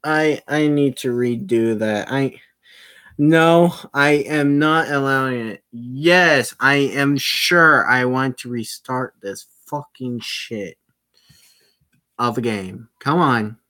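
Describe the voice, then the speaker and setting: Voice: mid-range voice, takes it slowly, a bit bright. A game streamer giving casual, self-directed commentary while playing, muttering and often swearing. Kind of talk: casual livestream gameplay commentary.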